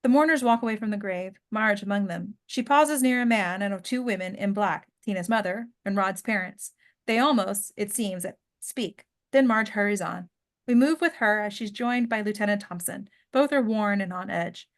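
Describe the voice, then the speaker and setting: A woman narrates a screenplay at a table read. Her pitch is middling and she reads out scene descriptions as scripted narration. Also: moderate pace. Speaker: narrator of stage directions.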